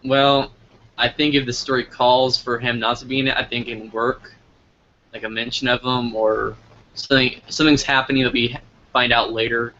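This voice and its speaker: Bright-voiced American man, noisy lo-fi recording. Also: medium pitch, level tone, moderate pace. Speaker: interviewer. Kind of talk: casual interview chat. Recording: lo-fi audio with clear background noise.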